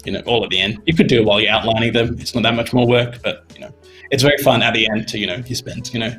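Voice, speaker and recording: A man's voice: medium pitch, steady tone, rapid-fire, fairly bright tone, soft background music. A young man from Australia giving a casual, chatty tutorial from a home studio on a desk mic, his voice tiring, with lots of "you know" fillers. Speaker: animation instructor. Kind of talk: casual live-stream tutorial.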